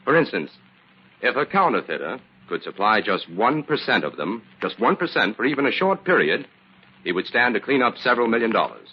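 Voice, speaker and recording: An actor playing a calm, shrewd scientific detective in an old-time radio drama, measured and assured as he explains. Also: lowish voice, slightly rough, highs cut off. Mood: assured, explanatory.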